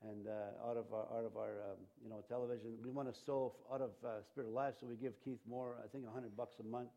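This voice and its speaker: Rambling pastor with a mellow voice. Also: male voice, mid-range voice, steady tone, quick pace, muffled recording. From Canada. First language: Cree and Ojibwe. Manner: rambling with many fillers and restarts. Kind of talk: sermon. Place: church.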